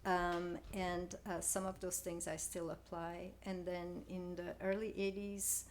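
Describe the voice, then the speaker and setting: Soft-spoken older woman. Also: on the low side, flat delivery, a little slow, slight background noise. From Belgium. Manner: soft-spoken, hesitant with many fillers. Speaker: guest floral designer. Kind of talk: casual interview.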